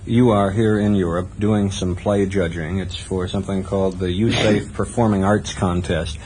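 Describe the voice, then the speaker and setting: A man with a very deep voice and an American accent puts questions as a radio interviewer. His delivery is measured and formal, and the old tape carries a faint hiss. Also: steady tone, a little mellow, low-quality recording.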